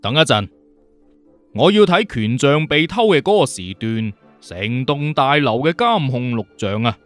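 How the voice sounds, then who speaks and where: 一個男人用粵語有聲小說嘅演法，扮演一個自信、直率、咄咄追問又冇耐性嘅刑偵顧問。. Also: male voice, medium pitch, very animated, unhurried, a bit bright, no echo, soft background music. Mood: blunt, demanding. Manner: assertive, questioning, direct. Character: self-assured, blunt detective consultant, probing and impatient. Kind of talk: Cantonese audiobook character acting.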